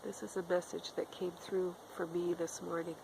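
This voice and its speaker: Raspy, whispery middle-aged woman with a cold. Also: lowish voice, little pitch movement, moderate pace, background noise. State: has a cold. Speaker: spiritual channeler. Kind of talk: slow, gentle spiritual talk to camera. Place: outdoors in woods. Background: faint outdoor ambience with a crow.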